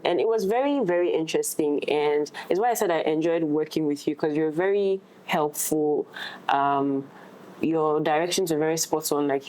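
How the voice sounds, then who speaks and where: A woman's voice: deep voice, darkish tone, rough recording. A woman talking casually as an actress in a roundtable discussion.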